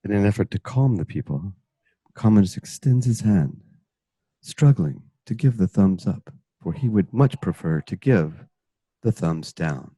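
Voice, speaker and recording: A man with a husky voice narrating a radio drama from a script. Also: fairly low pitch, lively intonation, measured pace, mellow, dry recording, low-quality recording.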